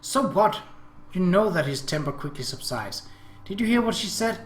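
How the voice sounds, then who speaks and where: Man doing a jealous hot-tempered sister, raised pitch. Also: animated, normal speed, reverberant. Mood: indignant. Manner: indignant, foul-mouthed. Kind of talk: visual novel dialogue read-aloud.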